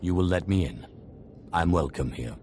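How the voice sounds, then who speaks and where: An actor playing a curt, imperious, suspicious Sith lord in a video game. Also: male voice, sits low, lively intonation, normal speed, a little mellow. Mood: commanding. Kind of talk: video game cutscene dialogue. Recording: music behind the voice.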